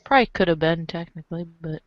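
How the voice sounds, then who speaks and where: A woman's voice: fairly low pitch, fast talker, muffled recording. A woman reading playfully in a group performance of a webcomic script for a podcast. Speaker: podcast co-reader.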